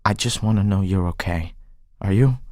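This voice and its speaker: A man's voice: deep voice, moderate pace, a little mellow, dry recording, lightly acted. Earnest young charmer, close and dry man acting. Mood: pleading. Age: young adult.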